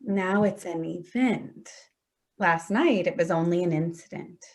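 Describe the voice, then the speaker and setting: A woman's voice: fairly low pitch, unhurried. A woman acting in a staged play reading as a hurt adult daughter, insistent and accusatory. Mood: accusing. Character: Danielle, wounded, accusing adult daughter confronting betrayal.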